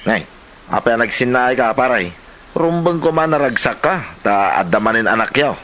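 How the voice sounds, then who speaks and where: Man acting a bright-voiced, teasing Ilocano buddy. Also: mid-range voice, expressive, normal speed, slight background noise, close to an everyday voice. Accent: Ilocano Filipino. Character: cheeky drinking buddy teasing his glum friend. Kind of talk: Ilocano comedy radio-style drama acting.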